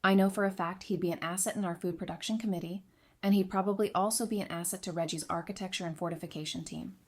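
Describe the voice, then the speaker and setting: A woman's voice: fairly low pitch, steady tone, quick pace, natural voice. An actress playing a candid, dry-witted woman adviser in an audio drama, talking in a frank, conversational way. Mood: thoughtful, frank. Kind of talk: fan audio drama acting.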